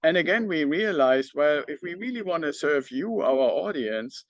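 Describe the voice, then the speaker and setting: A man's voice: fairly high pitch, lively intonation, normal speed, no room sound. A middle-aged man from northern Germany with a German accent, talking unscripted to his audience as a podcast host.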